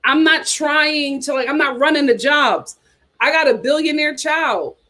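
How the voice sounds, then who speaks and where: Sassy high-voiced woman host. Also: a little slow. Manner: sassy, animated, talks straight to viewers. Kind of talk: casual reality TV commentary.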